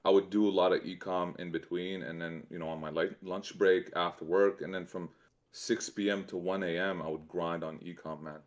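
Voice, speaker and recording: A man's voice: low voice, steady tone, moderate pace. A man telling his personal story as a guest in a casual chat, with informal, slangy talk. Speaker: e-commerce entrepreneur, guest.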